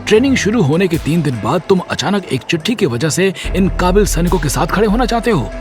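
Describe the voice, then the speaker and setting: A man's voice: high voice, sing-song, fairly quick, music in the background. A man voicing a stern, middle-aged military training commander in an audio drama, harsh and sarcastic as he reprimands. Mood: angry, scornful.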